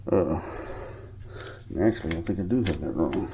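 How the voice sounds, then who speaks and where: A man with a very deep voice talks through his gear in a loose, rambling way, stopping to correct himself. He sounds a little stuffy from a cold. The audio is somewhat muffled, like a basic camera mic. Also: moderate pace, background noise. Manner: rambling, informal, with fillers and self-corrections. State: sniffly from a cold. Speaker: hobbyist showing his gear. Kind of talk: casual show-and-tell tutorial.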